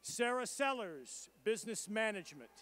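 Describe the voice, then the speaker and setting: A man reading out graduates' names and their programs at a commencement ceremony, in a measured and formal roll-call delivery. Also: high-pitched, very animated, pushed and bright. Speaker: commencement name reader.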